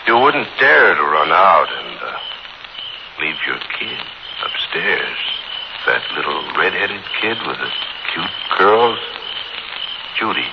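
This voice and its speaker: An actor playing a cool, wounded escaped killer in a 1940s radio thriller, with mock courtesy, taunts and clipped orders. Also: male voice, deep voice, big pitch swings, slow pace, pauses often, highs cut off, full character voice. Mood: taunting. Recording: noisy band-limited 1940s radio recording.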